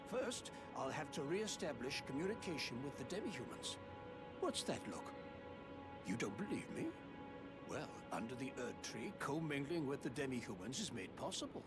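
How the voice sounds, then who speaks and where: An actor playing a pompous, high-minded noble heir in a video game, speaking in flowery, old-fashioned courtly phrases. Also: male voice, very animated, normal speed, close to an everyday voice. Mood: self-important, resolute. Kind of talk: theatrical video game dialogue. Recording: loud music behind the voice. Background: loud game music.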